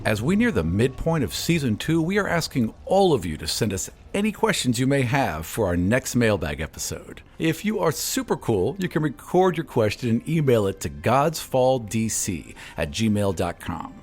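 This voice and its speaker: A man's voice: mid-range voice, big pitch swings, normal speed, hardly any pauses, slightly rough, soft background music. A man speaking as game master and host of a fantasy tabletop podcast.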